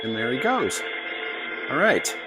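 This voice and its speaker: A man's voice: mid-range voice, very animated, measured pace, rough recording. A man hosting a livestream with casual, sarcastic wisecracks. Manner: wry, sarcastic. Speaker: artist livestream host. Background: old radio drama and its music.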